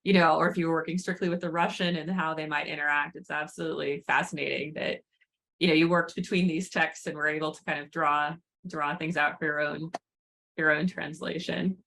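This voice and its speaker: A woman's voice: low-pitched, fairly even intonation, fairly quick, vocal fry, a bit bright. A woman moderating an online academic talk, appreciative and fluent. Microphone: video call.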